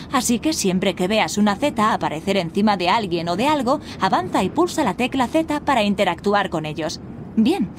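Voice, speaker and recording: An actress playing a kindly, encouraging fairy guide who gives patient instruction in a video game. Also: female voice, medium pitch, background noise, natural voice. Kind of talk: scripted game tutorial dialogue.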